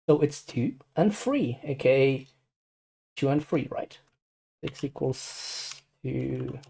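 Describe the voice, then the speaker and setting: A man's voice: medium pitch, creaky, dark tone. A man with a Czech accent explaining casually and unscripted as an amateur, rambling hesitantly with repeated words and apologies. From Czech Republic. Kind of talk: casual unscripted screen tutorial.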